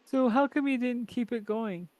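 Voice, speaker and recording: A woman's voice: on the high side, moderate pace, a little mellow, no room sound. Lady hosting a podcast, chatty, laughs a lot, says like constantly, dry close sound. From Canada. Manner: chatty, laughing often, lots of "like". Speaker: podcast host. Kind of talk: casual podcast conversation.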